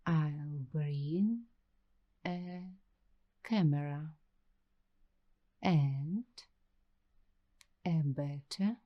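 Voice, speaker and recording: A woman performing an ASMR roleplay as a paranoid young waitress, speaking in a secretive, deliberate hush that drifts into whispers. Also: low voice, lo-fi sound. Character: paranoid young waitress investigating a creepy attic mystery. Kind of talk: ASMR roleplay, slow scripted monologue.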